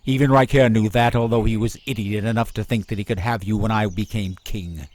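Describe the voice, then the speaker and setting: A man's voice: on the low side, steady tone, a little fast, distant mic, lightly acted. An actor playing an arrogant young prince hungry for the throne in a radio drama, imperious and menacing as he declaims. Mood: angry, commanding.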